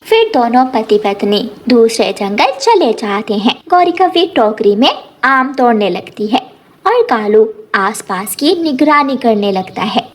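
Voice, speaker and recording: A woman's voice: fairly high pitch, big pitch swings, measured pace. A woman narrating a scripted children's cartoon story.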